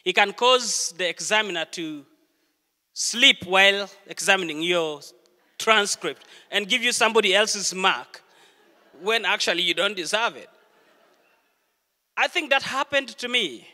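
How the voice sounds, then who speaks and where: A middle-aged man from Uganda, preaching a sermon in a church and telling stories with earnest, deliberate pauses. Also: high voice, lively intonation, sharp tone. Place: church hall. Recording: long hall reverb.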